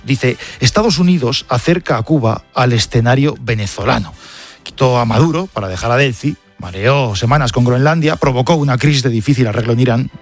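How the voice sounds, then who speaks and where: A man with an accent from Spain presenting a scripted press review on the radio, with conversational asides to a colleague. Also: mid-range voice. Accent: Spanish, from Spain.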